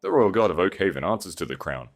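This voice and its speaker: Veteran fantasy soldier acted by a man, gritty, unyielding, very low voice over music. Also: sing-song, fast talker, heavily acted. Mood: defiant. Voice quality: rough, gritty. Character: gruff, unyielding veteran royal guard captain.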